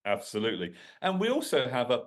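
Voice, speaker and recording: A man's voice: medium pitch, huge pitch swings, fast talker. A man hosting a podcast, asking friendly, chatty questions of his guest. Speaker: podcast host. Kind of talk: podcast interview.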